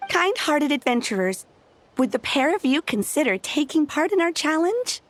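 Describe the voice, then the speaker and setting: A woman's voice: sits high, sing-song, moderate pace, no room sound. A woman playing an eager, effusive young event staffer in a video game, gushing and earnest, with music behind. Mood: gushing, grateful.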